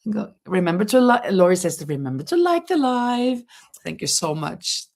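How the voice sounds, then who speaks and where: A woman's voice: medium pitch, very animated, normal speed, vocal fry, a little mellow. A middle-aged Norwegian woman hosting a casual livestream from home, chatting and reading out viewer comments with frequent laughs and restarted words. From Norway. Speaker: livestream host. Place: home, near a terrace.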